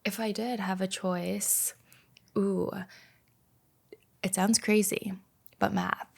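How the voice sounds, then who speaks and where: A woman's voice: medium pitch, slow, vocal fry. A woman in her thirties, a native Slovak speaker raised in Canada, chatting casually as a podcast guest with plenty of filler words. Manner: relaxed, many "like" fillers. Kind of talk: casual conversation.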